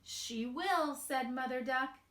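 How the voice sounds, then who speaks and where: A woman with a fairly high voice reads a children's story very slowly and expressively. She leaves emphatic pauses between phrases. The sound is roomy and echoing. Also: a bit bright. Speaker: picture book reader. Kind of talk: read-aloud children's storytelling.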